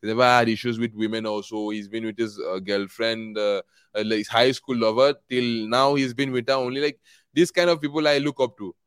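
A man's voice: medium pitch, steady tone, a little fast, clean tone, no room sound. A young man from Nigeria, chatting earnestly as a podcast guest, rambling with fillers and easy laughs. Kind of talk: casual interview chat.

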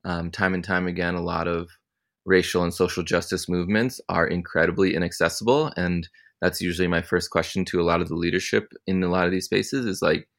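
Young male interview guest, very deep voice, easygoing and reflective delivery. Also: brisk. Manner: relaxed, thoughtful, many ums. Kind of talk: casual interview answers.

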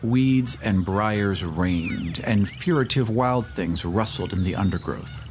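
A man narrating a scripted story in a dramatic, measured and solemn manner, as the narrator of an audio podcast. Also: fairly low pitch, darkish tone, a little hiss or hum.

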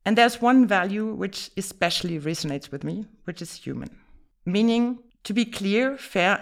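Businesslike woman with a German first language. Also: mid-range voice. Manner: measured, businesslike, with frequent fillers. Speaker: insurance executive guest. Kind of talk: podcast interview answers.

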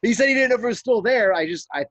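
A man's voice: very high-pitched, animated, racing, a bit bright, no room sound. A man in casual podcast chat, joking crudely, calling in from a camper trailer. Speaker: podcast guest.

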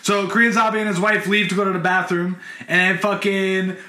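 Fields. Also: male voice, high-pitched, little pitch movement, normal speed, echoey room, a crowd in the background. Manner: profane, fired-up ranting. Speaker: podcast co-host. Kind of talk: casual podcast chat.

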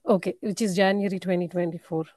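A woman's voice: lowish voice, fairly even intonation, fast talker, darkish tone, dry recording. A woman calling in with a question about her daughter, speaking hesitantly with many fillers. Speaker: caller, a parent. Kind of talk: phone call question. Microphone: conference call line.

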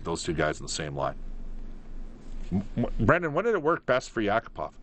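Male sports talk host, low register, emphatic and halting delivery, faint background noise. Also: huge pitch swings, a bit bright. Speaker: sports radio call-in host. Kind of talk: live phone-in sports commentary.

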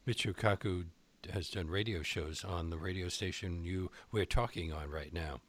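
Male radio host, lowish voice, careful questions with little uhs, dry close sound. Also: fairly bright tone, no room sound. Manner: measured questions with small hesitations. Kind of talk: radio interview.

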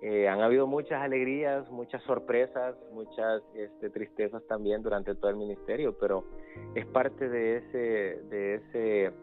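A man in his thirties with a low voice, talking as a guest in a casual interview. He repeats words as he searches for phrasing. The sound is muffled and narrow, with faint music underneath. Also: steady tone, a little slow. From El Salvador. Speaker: interview guest, youth ministries pastor. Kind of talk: casual spoken testimony.

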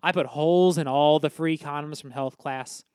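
A performer playing a distraught, guilt-ridden teenage boy, the class clown, halting and overwrought in a comedic audio drama. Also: mid-range voice, darkish tone, clear, resonant tone, no room sound, studio quality, natural voice. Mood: bitter, confessing.

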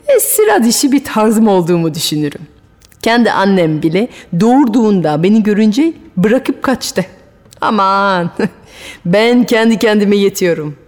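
Pan, a boastful and lonely goat god, voiced by a woman, brags in a sing-song voice that leaps high and swoops down, chuckling at his own pranks. He sounds like a storybook trickster. Faint guitar plays under him, and a long hall reverb trails each phrase. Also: darkish tone. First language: French. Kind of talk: storytelling radio monologue.

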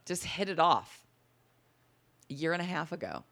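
A woman with a low, deep-timbred voice, telling stories in a casual interview and acting out the dialogue. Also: moderate pace, vocal fry. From Las Vegas. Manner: animated, acts out quoted dialogue. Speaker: comedian guest.

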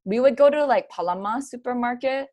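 A young woman from Hawaii, a graduate student, talking in a friendly, relaxed way as a guest over a video call. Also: fairly high pitch, a little fast, no room sound.

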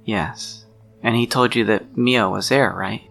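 A man playing a troubled, protective friend of a missing woman in a role-play drama, speaking in a halting, deliberate, sincere way with a gravelly voice. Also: on the low side, steady tone, fairly bright tone, heavily acted. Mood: guarded, serious. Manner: halting, deliberate, pausing mid-sentence. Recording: music under the voice.

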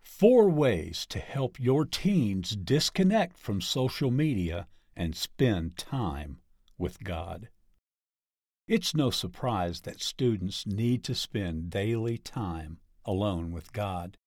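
Man, article reader, unhurried delivery, dry close sound. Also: lowish voice, sing-song, a little mellow, dry recording. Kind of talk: reading an article aloud.

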